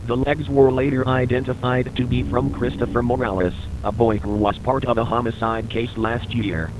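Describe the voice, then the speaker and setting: A man reading scripted documentary-style narration for an analog horror piece, in a formal, measured report delivery. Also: medium pitch, level tone, background noise.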